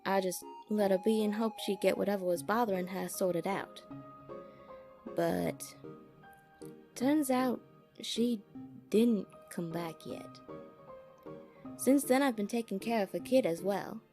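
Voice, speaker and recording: A woman's voice: mid-range voice, slow pace, pauses often, mellow, faint music behind. A woman playing a worried, motherly monster townswoman in an audio drama, speaking informally and a little hesitantly. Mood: troubled.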